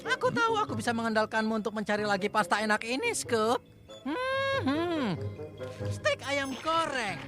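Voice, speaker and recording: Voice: high-pitched, very animated, normal speed. An actor voicing a goofy, nervous, food-loving young man in a cartoon dub. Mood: hungry delight. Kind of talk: animated cartoon dub acting. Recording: roomy, music behind.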